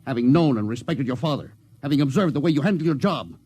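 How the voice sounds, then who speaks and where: An actor playing a stern, authoritative spaceship captain in a 1950s radio drama. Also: male voice, medium pitch, lively intonation, fast talker, darkish tone, breathy edge. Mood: stern, suspicious. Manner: authoritative, clipped, interrogating. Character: stern, commanding, hot-tempered spaceship captain. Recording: vintage radio recording, faint background noise.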